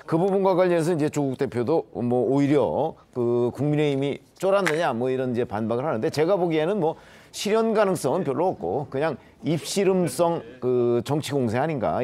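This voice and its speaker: A man's voice: mid-range voice, warm tone. A man who is a lawmaker, speaking as a panel guest with hesitant, filler-heavy analysis. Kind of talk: studio panel discussion. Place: TV studio.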